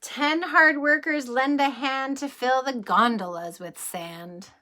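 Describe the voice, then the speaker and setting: A woman kindergarten teacher reading a rhyming picture book aloud in a slow, sing-song way, pausing to ask the children gentle questions. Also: high voice.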